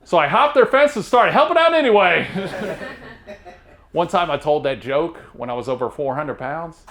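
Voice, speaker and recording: This is a man in his thirties with a drawl that sounds like the American South, though he grew up near Baltimore. His voice sits a little high and moves through a lively, wide range. He tells self-deprecating stand-up jokes in a deadpan way. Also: moderate pace, slight background noise. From Maryland, near Baltimore. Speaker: stand-up comedian. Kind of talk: stand-up comedy set.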